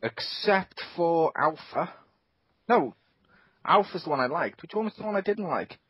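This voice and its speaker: Animated, husky podcast host. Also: male voice, fairly high pitch, measured pace, no echo. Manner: animated, swears a lot, acts out his own reactions. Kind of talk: casual gaming chat. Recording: dull lo-fi podcast audio.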